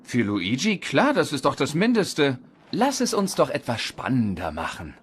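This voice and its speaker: A man voicing a confident, friendly young race car in a German-dubbed animated cartoon, with enthusiastic sporting banter. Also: medium pitch, normal speed, husky. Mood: easygoing.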